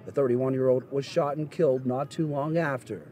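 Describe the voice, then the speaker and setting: A man reporting a news story in a measured, somber way from outdoors at a parking lot. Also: mid-range voice, fairly even intonation, noisy background.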